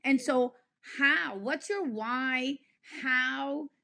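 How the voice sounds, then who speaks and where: A woman hosts a conversational interview show. Her voice sits a little higher than usual, and she speaks with gushing emphasis. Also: drawn-out words, gravelly, sharp tone. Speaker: podcast host.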